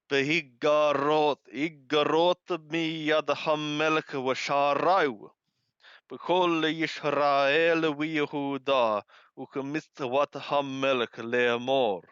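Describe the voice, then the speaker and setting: Male scripture reader and translator, higher-pitched, deliberate phrase-by-phrase delivery, dry but muffled lo-fi sound. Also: fairly bright tone, clear, resonant tone, no room sound. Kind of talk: scripture reading alternating Hebrew with literal English translation.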